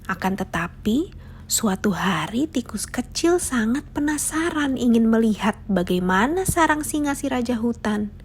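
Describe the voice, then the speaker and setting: A woman's voice: on the high side, animated, unhurried, slight background noise. A woman narrating a scripted children's fable as a storyteller.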